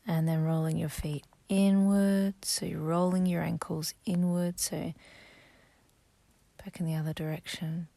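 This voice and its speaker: Low, gravelly-voiced woman guiding meditation. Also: little pitch movement. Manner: soft, gentle, slow and instructive, sometimes half-whispered. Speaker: meditation guide. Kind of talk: guided sleep meditation.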